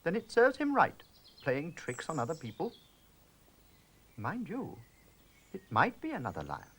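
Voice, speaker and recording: A man voicing a prim, fussy elderly lady of the manor in a swooping falsetto. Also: wildly swooping pitch, measured pace, a bit bright, slightly rough, heavily acted.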